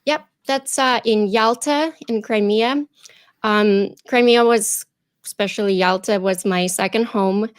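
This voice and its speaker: Interview guest, a woman from Ukraine, native Russian speaker, mid-pitched voice, reflective. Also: measured pace, clean tone, no room sound, clean studio sound. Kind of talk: casual interview storytelling.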